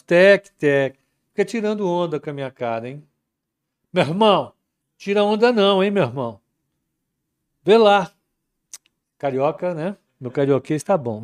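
Slow, laid-back man commenting on markets. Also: fairly high pitch. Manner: relaxed, chatty, reads viewer comments aloud. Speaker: market commentary livestream host. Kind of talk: casual live market commentary.

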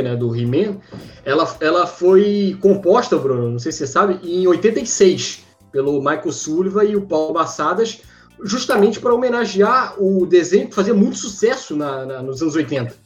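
A Brazilian man chatting casually and dropping bits of trivia between fillers. His voice comes through a muffled mic in an echoey room, with faint music behind. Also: fairly high pitch. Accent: Brazilian Portuguese. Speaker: podcast co-host. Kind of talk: casual chat about cartoons.

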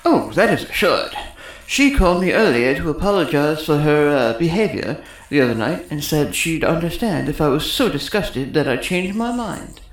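A woman's voice: low-pitched, big pitch swings, moderate pace. Woman playing a cocky, sarcastic male tech, echoey. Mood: smug. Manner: sarcastic, smug, wordy. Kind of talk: sci-fi comedy audio drama acting. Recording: roomy echo.

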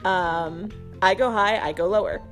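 A woman podcast host talks in a loose stream of consciousness, as if thinking out loud. She is self-amused and irreverent, and keeps the chat casual. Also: mid-range voice, unhurried, faint music behind.

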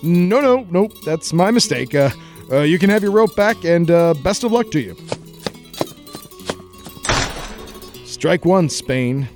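Casting: male actor as unimpressed traveling adventurer, lightish pitch, courteous but wary, comic radio play over music. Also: animated, moderate pace, a little mellow. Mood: dismissive. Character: wary, muscular traveling grail hunter, polite but unimpressed. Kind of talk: 1940s-style radio play acting.

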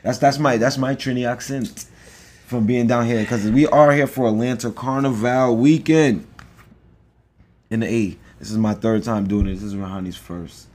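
A man's voice: medium pitch, fairly even intonation, moderate pace, darkish tone. A man co-hosting a podcast in casual chat, rambling and joking with lots of fillers. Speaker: podcast co-host.

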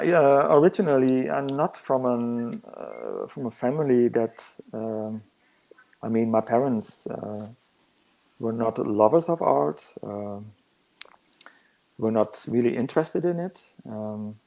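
Slow, gravelly man. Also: medium pitch, mellow, rough recording. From northern Netherlands. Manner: halting, searching for words. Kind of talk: reflective interview answers.

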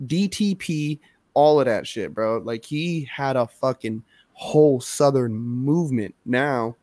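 A man's voice: mid-range voice, animated, unhurried, darkish tone, no echo, studio quality. Man making his case in podcast chat. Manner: emphatic, repeats points, asks "you know what I'm saying?". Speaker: podcast co-host. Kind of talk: casual chat.

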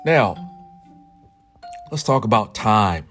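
Slow, deliberate man teaching phrases. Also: on the low side, expressive, a bit bright, faint music behind. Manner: slow, deliberate, repeats each phrase twice. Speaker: podcast host teaching Italian phrases. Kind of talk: solo language lesson podcast.

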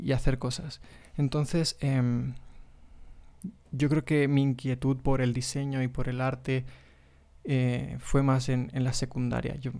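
A young man from Mexico with a Spain Spanish accent talks into a podcast microphone in a casual solo monologue. His voice is warm and dark, and his intonation stays fairly flat. He pauses often with fillers and restarts. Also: medium pitch, smooth. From Mexico (Monterrey). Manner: relaxed, hesitant, with many fillers and restarts. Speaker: podcast host.

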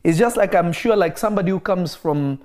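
A man who grew up in Kenya, preaching in a relaxed interview and driving his points home by repeating key phrases. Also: high-pitched, fairly quick. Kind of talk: conversational preaching.

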